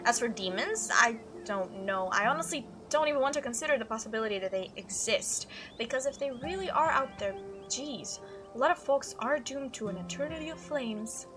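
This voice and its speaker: A woman voicing a chatty, excitable young female cryptid hunter from a visual novel, telling stories in an animated, gushing way. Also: on the high side, normal speed, over music, lightly acted. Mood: musing, earnest. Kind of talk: visual novel character read-aloud.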